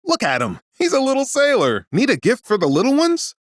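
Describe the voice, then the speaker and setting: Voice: medium pitch, wildly swooping pitch, fairly quick, dry recording, clean studio sound. An actor playing a showy souvenir seller with theatrical sales patter in a video game. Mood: showy, coaxing. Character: showy souvenir seller hawking wares aboard ship. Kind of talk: video game character dialogue.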